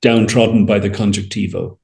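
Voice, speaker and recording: A middle-aged man talks casually as a guest on a video call. His voice is fairly low, and he tells things in a wry, slightly hesitant way. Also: fairly even intonation, brisk, vocal fry, darkish tone. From Ireland. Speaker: interview guest, winery owner. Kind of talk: casual anecdotal conversation. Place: indoor room, on a video call.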